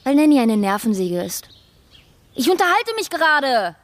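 A woman's voice: sits high, big pitch swings, normal speed. A woman playing a bossy, know-it-all little girl detective in a German radio play, pert and scolding. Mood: cheeky, scornful.

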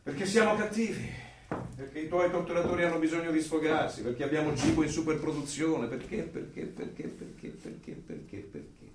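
An actor plays a male interrogator for a totalitarian regime in a radio play. He lectures with cold, menacing authority and theatrical emphasis, in a slightly high voice. The sound is roomy, set back from the microphone, with some background noise. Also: brisk, far from the mic, natural voice. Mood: sardonic. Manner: declamatory, lecturing, menacing, with sudden loud bursts.

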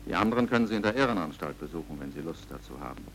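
An actor playing a stern, commanding British military police major in a German radio crime drama, with clipped, authoritative delivery. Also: male voice, very deep voice, animated, rapid-fire, a bit bright, a little hiss or hum, heavily acted. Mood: grave, bitter.

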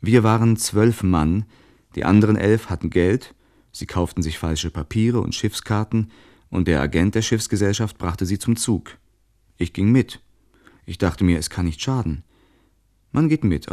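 Mellow, deep-voiced emigrant son. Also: male voice. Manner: matter-of-fact storytelling. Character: resourceful emigrant son telling his story in a letter. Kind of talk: radio drama letter monologue.

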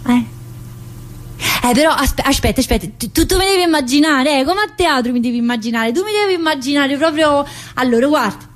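A woman's voice: sits high, natural voice. An actress playing a talkative, needy, restless young mother in prison, in a theatrical monologue with colloquial southern Italian speech and insistent repetitions. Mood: dreamy, insistent. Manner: colloquial dialect, insistent repetitions, addressing a listener. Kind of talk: theatrical monologue, radio drama.

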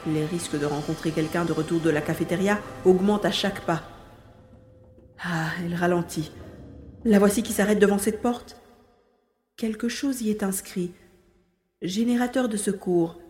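A woman's voice: fairly low pitch, fairly even intonation, normal speed, long pauses, darkish tone, soft background music, lightly acted. A woman playing a brave young boy on the run in an audio drama, urgently telling what happens. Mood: anxious, alert. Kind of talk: dramatised audio serial acting.

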